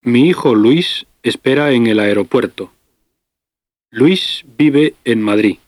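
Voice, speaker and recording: A man in his fifties playing a doctor travelling with his family, speaking clearly and carefully in a scripted language-lesson dialogue. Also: medium pitch, lively intonation, darkish tone, no echo, clean studio sound. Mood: calm. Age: 50s. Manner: clear, careful, deliberate delivery. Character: fifty-year-old male doctor on family trip.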